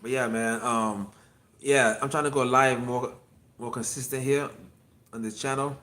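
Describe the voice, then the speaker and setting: Man in his 50s, casual livestream talk, rambling, repeats himself, answers chat, mid-pitched voice. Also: fairly even intonation, a little slow, sharp tone. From Miami. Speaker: acupuncture physician and livestream host. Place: his clinic.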